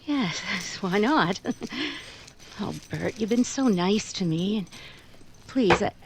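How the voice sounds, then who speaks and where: A woman acts out a timid young woman in an old-time radio play. She is meek and flustered, stammering and hesitating through her phrases. The recording has clear background noise. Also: mid-range voice, animated, takes it slowly. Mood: tender. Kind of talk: 1940s radio drama acting.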